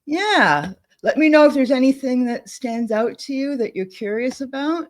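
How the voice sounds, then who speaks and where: A woman co-hosting a casual chat, thinking aloud as she clicks through software at her desk, with frequent laughs. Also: sits high, lively intonation, moderate pace. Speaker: co-host demonstrating software. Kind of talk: casual screen-share chat.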